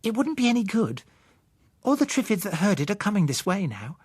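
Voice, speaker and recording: A man's voice: high voice, very animated, fairly quick, breathy edge, no echo, studio quality, pitched above the performer's own voice, full character voice. A man voicing an earnest, huffy young girl who explains things pointedly in an audiobook story. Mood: matter-of-fact. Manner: matter-of-fact, pointed explaining. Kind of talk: audiobook character acting.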